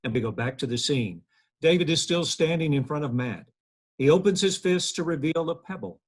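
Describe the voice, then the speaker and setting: A man reading the stage directions aloud at a table read in a plain, steady way. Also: fairly high pitch, a little fast, slightly rough.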